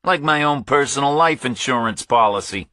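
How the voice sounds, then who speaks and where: Man acting out forceful, smug thriller villain. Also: mid-range voice, moderate pace, no room sound, voice pushed up, close to an everyday voice. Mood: smug, controlling. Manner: commanding, taunting. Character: menacing, smug armed male villain in a thriller.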